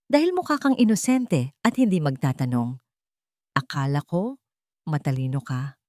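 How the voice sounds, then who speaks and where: A woman's voice: deep voice, very animated, normal speed, a little mellow, no echo, studio quality. A woman voicing a cold, contemptuous, controlling husband in a dramatic story, with heavy, scornful delivery. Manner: heavy, emotionless, scornful words.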